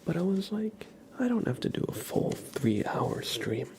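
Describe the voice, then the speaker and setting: A man doing a live ASMR stream, chatting casually with viewers in a soft, breathy whisper and rambling conversationally. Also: on the high side, very animated, a little slow, crowd noise. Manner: rambling, conversational, addresses chat by name.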